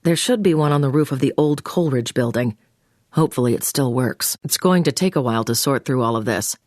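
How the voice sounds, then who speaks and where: A woman's voice: very deep voice, animated, fairly quick, a little mellow, dry recording. Businesslike female agent handler for a video game, clipped orders, really dry close sound. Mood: businesslike, urgent. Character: brisk, commanding female intelligence agent giving orders. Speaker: mission handler over the phone. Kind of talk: scripted video game voice acting.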